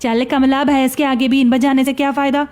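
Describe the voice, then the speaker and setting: A woman's voice: high-pitched, little pitch movement, normal speed, a little mellow, close to an everyday voice. A woman voicing a mocking, gossipy middle-aged neighbour in a cartoon story. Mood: indignant, scornful. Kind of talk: Hindi cartoon story voice acting.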